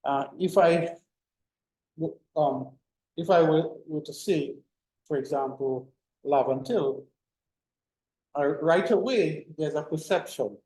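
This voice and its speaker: A man's voice: a little high, slow pace, long pauses, mellow. Man lecturing in an echoey room. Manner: rambling, many fillers and restarts. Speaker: university lecturer. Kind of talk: informal classroom lecture.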